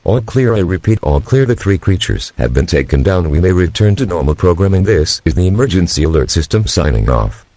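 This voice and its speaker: A deep-voiced man reads an emergency alert in a flat monotone. Clear noise hisses steadily behind him. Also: brisk, few pauses. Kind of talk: read-out emergency broadcast.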